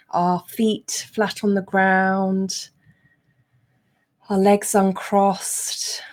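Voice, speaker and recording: Slow, mellow woman guiding a meditation. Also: medium pitch. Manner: gentle, unhurried, instructional with frequent pauses. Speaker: meditation coach. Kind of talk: guided meditation.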